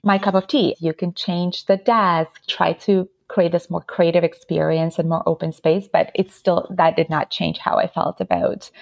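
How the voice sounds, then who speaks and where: Ukrainian-born woman, lowish voice, dry close sound, thoughtful storytelling as a podcast guest. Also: flat delivery, moderate pace, vocal fry, few pauses, dry recording. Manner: reflective, telling stories with small laughs. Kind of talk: casual interview talk.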